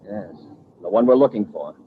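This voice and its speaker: A man's voice: fairly low pitch, expressive, normal speed, a little hiss or hum, natural voice. A man playing a cool, decisive space patrol commander in a 1950s radio adventure, on a muffled old recording. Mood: calm, determined.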